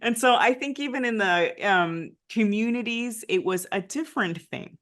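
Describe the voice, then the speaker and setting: A woman's voice: a little high, normal speed, a bit bright. A Nicaraguan American woman, a former diplomat, telling her story in an interview with frequent "right?" tags. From United States, family from Nicaragua. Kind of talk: conversational interview.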